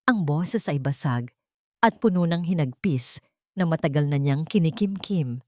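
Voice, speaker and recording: A woman narrating a scripted love story in a measured, solemn, dramatic manner. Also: low-pitched, darkish tone, no room sound, clean studio sound.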